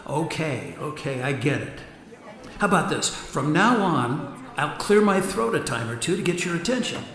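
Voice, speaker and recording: A man's voice: a little high, very animated, moderate pace, fairly bright tone. Man playing a playful ghost husband in long reverb. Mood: teasing. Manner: teasing, goofy. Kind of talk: radio drama acting.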